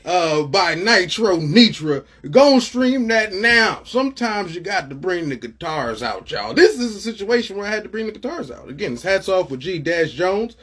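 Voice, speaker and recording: A man's voice: sits high, big pitch swings, moderate pace, hardly any pauses, fairly bright tone, husky. A man hosting an interview show in a casual, hyped way, using slang and repeating words for emphasis. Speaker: show host.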